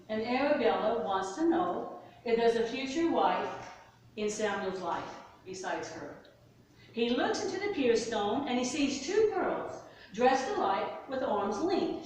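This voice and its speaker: A soft-spoken woman telling pioneer-style folk tales to a live audience, her voice lifting sharply in pitch at moments of surprise. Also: slight background noise. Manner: soft-spoken, animated storytelling with sudden pitch lifts. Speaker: storyteller. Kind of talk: oral storytelling to a live audience. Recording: far-off mic in an echoey hall.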